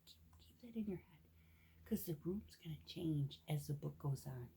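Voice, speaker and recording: A woman reading a picture book aloud to young children with gentle, expressive asides that sometimes drop into a hush. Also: sits low, moderate pace, vocal fry, slight background noise. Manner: gentle, expressive, often drops into hushed whispered asides.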